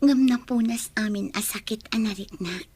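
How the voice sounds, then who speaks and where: A humble young mother, worn out and very husky. Her fairly high voice carries an Ilocano accent in a radio drama. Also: moderate pace, a little mellow, dry recording. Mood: remorseful. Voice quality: husky, breathy.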